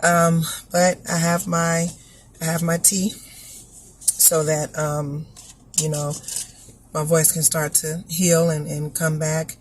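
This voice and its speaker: A woman, hoarse and scratchy after an illness, giving a casual motivational talk that explains ideas step by step and keeps checking in with listeners. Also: low-pitched, level tone, takes it slowly, pauses often. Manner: teaching, repeats points and checks in with the listener. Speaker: vlogger giving inspirational talks.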